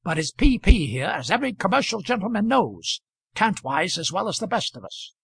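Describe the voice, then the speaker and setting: A man reading the part of a pompous, blustering male commercial traveller who presides over the table, loud and hectoring. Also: high voice, very animated, normal speed, creaky, breathy edge, no echo, studio quality, voice pushed up, heavily acted. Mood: scornful.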